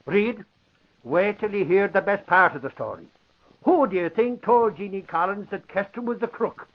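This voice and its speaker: An actor playing an excitable, folksy sidekick in an old radio drama. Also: male voice, high-pitched, normal speed. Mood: eager. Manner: folksy, exclamatory. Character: excitable folksy male sidekick, talking in slangy exclamations. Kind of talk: 1940s radio drama acting. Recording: dull old radio recording.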